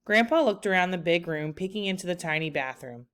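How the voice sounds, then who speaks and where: A woman reading a children's book aloud like a teacher, in an expressive, deliberate storytelling manner. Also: lowish voice, smooth.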